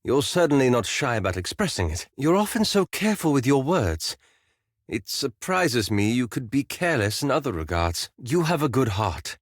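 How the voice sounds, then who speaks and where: A guarded elf adventurer from a video game, with a mid-pitched voice and a very dry recording. He chooses formal, careful words and sounds politely reserved, with a hesitant edge. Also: sing-song, moderate pace, no room sound. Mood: earnest, guarded. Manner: formal, articulate, hesitant and apologetic phrasing. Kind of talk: scripted video game character dialogue.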